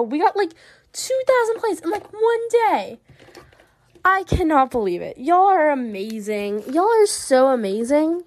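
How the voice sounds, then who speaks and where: A young woman with a Southern American accent chats on her own podcast while she draws. She rambles through lots of fillers and small giggles, and her voice sits a little high. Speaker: podcast host. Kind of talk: casual solo chat while drawing.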